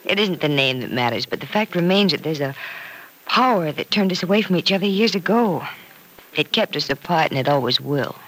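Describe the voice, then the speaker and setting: A young radio soap heroine with a bright, clear-cut delivery and animated intonation. She is sensible and gently teasing, speaking conversationally in old-time drama style. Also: low voice, fairly quick, low-quality recording. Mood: resolute, sober. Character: Penny, warm-hearted, sensible, gently teasing young woman.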